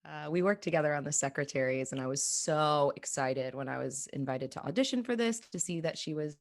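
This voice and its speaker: A woman's voice: low-pitched, animated, brisk. A woman who works as an actor, talking casually and enthusiastically in an interview.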